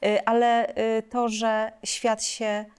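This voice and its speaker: A woman's voice: fairly high pitch, little pitch movement, slow, clear, resonant tone. A middle-aged woman from Poland hosting an interview in a reflective, conversational style. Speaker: host, interviewer. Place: studio set styled as a library lounge, indoors.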